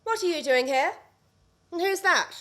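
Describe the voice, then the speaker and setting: A woman's voice: extremely high pitch, expressive, moderate pace, a bit bright. A woman playing an indignant, melodramatic wife in a radio play farce, haughty and theatrical in her delivery. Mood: haughty indignation.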